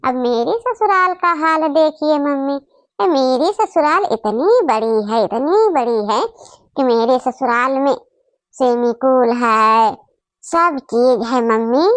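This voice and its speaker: Cartoon spoiled young wife visiting her parents, played by a woman: boastful, disdainful, high-pitched, dry close sound. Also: animated, slow pace, no echo. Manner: bragging, complaining. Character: boastful, snobbish young married daughter visiting home. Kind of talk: Hindi family comedy cartoon acting.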